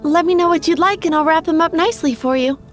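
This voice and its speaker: Very high-voiced, earnest flower girl. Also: quick pace. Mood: polite. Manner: earnest, stammering when nervous. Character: polite, easily flustered young female flower seller. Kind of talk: video game voice acting. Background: faint music.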